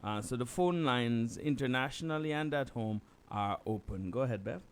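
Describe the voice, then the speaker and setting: A man with a mid-range voice hosts a radio talk show. He speaks in a measured, deliberate way and invites listeners to call in. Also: mid-range voice, expressive, clean tone. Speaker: radio host. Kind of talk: radio hosting.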